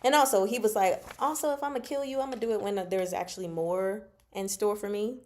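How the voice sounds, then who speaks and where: Casting: female book-discussion host, medium pitch, casual and slangy, emphatic, teasing, expressive delivery. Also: fairly quick. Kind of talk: casual chatty book commentary with live chat.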